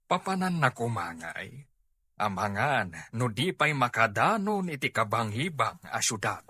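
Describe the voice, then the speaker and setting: A man's voice: medium pitch, big pitch swings, a little slow, sharp tone, breathy edge, exaggerated voice. A man playing an older, concerned Filipino father in a comedy drama. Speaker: actor in an audio drama.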